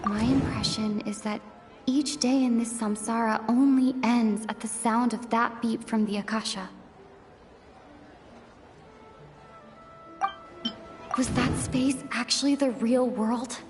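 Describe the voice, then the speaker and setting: A woman's voice: fairly high pitch, fairly even intonation, moderate pace, natural voice. A woman voicing an earnest, reflective young female adventurer reporting what she has seen in a video game. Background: game music.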